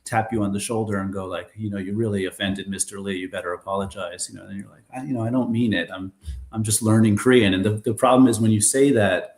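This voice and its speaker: Low-voiced American man, echoey room. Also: quick pace. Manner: rambling, restarts often, animated storytelling. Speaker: guest, former bar owner in Korea. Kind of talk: casual interview conversation.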